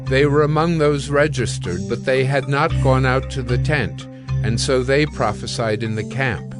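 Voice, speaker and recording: A man's voice: mid-range voice, steady tone, moderate pace. Man narrating scripture over music. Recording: music bed under the voice.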